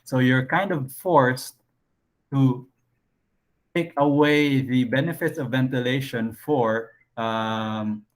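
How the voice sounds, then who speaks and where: Male teacher, mid-pitched, casual online lecture with lots of fillers. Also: takes it slowly. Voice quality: low voice. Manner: casual, thinks aloud with frequent fillers.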